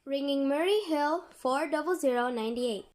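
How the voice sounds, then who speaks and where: A woman's voice: high-pitched, moderate pace, darkish tone, close to an everyday voice. A woman acting in a radio play as a polite telephone switchboard operator who speaks in formal, routine phrases.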